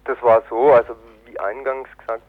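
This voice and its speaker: A man's voice: mid-range voice, level tone. Tinny phone voice, man. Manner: halting, broken phrasing. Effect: telephone. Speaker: political activist interviewee. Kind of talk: spontaneous phone interview. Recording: tinny band-limited phone line.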